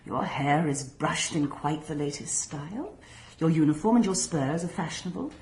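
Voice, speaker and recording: An actress playing a worldly, imperious married countess, arch and drily mocking, in a radio drama. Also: female voice, low-pitched, normal speed, darkish tone, a little hiss or hum, lightly acted. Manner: arch, cool, commanding.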